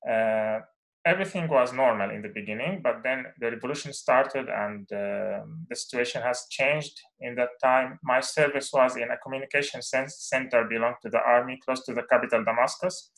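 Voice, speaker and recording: A young man from Syria, a native Arabic speaker, talks to an audience as a guest speaker. He picks his words carefully and often pauses and starts over. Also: medium pitch, creaky, fairly bright tone, low-quality recording. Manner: hesitant, many fillers and restarts. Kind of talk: personal talk.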